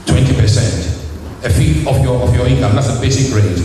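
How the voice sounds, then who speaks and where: A man's voice: mid-range voice, lively intonation, fairly quick, dark tone, faint music behind. A middle-aged man from Ghana with a Ghanaian accent, preaching a sermon in a church and firing rhetorical questions at the congregation. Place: church hall. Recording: echoey hall, mic far off.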